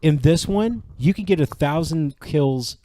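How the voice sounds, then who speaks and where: A man's voice: a little high, lively intonation, unhurried, dark tone, a little hiss or hum. A man co-hosting a podcast, chatting casually about games. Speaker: podcast co-host. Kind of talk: casual gaming chat.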